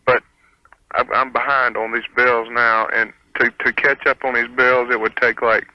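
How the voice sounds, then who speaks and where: A man's voice: mid-range voice, level tone, moderate pace, no room sound. A man, calling a radio show and talking casually in a hesitant, sheepish way. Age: 20s. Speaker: caller. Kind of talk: casual phone conversation. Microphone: telephone. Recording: dull lo-fi phone call on radio.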